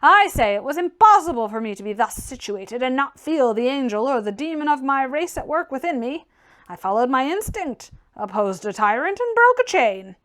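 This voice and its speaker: A woman voicing a blunt, fiery, mocking gentleman reformer in a forceful, declamatory audiobook reading. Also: high-pitched, very animated, moderate pace. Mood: proud, indignant.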